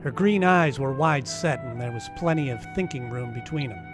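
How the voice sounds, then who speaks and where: A man's voice: medium pitch, moderate pace, over music, natural voice. A man playing a wisecracking, dryly sardonic private eye in an old-time radio detective play. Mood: cool narrating. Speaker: actor in live radio play, also narrating.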